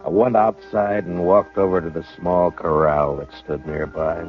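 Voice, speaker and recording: An actor playing a calm, firm frontier marshal who also narrates, in a 1950s radio western, with an unhurried way of talking. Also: male voice, low voice, soft background music. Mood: reflective. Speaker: narrator and lead. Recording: muffled vintage radio broadcast.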